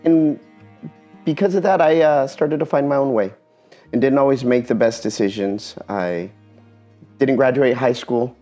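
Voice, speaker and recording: A man giving a personal talk in an earnest, reflective way, pausing often between phrases. Spanish is his first language. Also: mid-range voice, dark tone, faint music behind. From Eastern Washington, USA.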